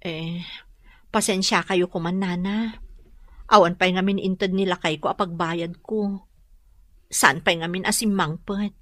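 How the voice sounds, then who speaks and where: A woman's voice: on the low side, fairly even intonation, measured pace, pauses often, fairly bright tone, dry recording. A woman playing a worried, apologetic mother in a radio drama, pleading with a Filipino accent. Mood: anxious, apologetic. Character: worried, pleading mother behind on boarding house rent.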